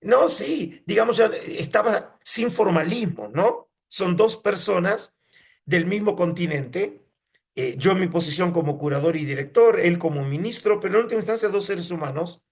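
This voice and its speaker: Higher-pitched Argentine man telling anecdotes. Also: expressive, normal speed, husky. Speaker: museum curator, interview guest.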